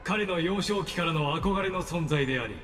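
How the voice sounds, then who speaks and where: A man's voice: fairly high pitch, very fast, lightly acted. An actor playing a courteous, theatrical male narrator in a game, with formal, polite delivery. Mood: solemn, theatrical. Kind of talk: scripted Japanese game voice acting. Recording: long hall reverb over music.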